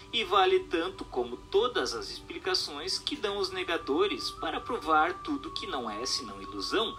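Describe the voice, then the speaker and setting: Guy narrating a book, formal, really lively pitch, bit high, music behind. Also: poor audio. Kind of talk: scripted audiobook-style narration of a text.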